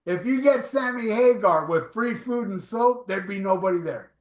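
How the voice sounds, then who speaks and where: High-voiced sixty-year-old man, roomy sound. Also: moderate pace. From South Florida. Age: 60s. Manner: joking, teasing banter. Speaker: podcast co-host. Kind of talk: casual chat.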